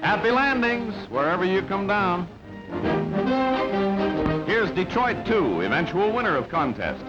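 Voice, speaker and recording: High-voiced newsreel announcer man. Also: very animated, measured pace, pauses often. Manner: clipped, announcing delivery. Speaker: newsreel narrator. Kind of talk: scripted newsreel narration. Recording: dull lo-fi archival sound over loud music.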